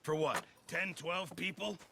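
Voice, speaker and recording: A man's voice: a little high, a little slow, gravelly, background noise. An actor playing a chatty, blustering old navy man turned camp cook in a Western game, with a folksy manner. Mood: aggrieved. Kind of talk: cinematic Western game acting.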